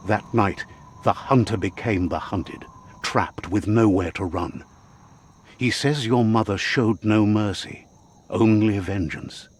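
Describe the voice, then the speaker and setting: A low-voiced male storyteller narrates a fantasy game like a dark fable. His voice is husky and rough-edged. He phrases things in a measured, dramatic way.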